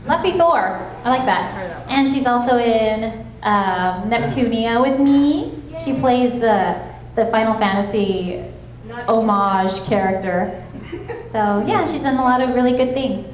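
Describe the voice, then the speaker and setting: Soft-voiced woman on an echoey panel mic. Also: on the high side, unhurried, crowd noise. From Los Angeles, California. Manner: chatty, laughing, self-described soft voice. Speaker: guest voice actress. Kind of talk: convention panel chat. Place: convention panel room. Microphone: handheld panel mic. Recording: echoey room, clear background noise.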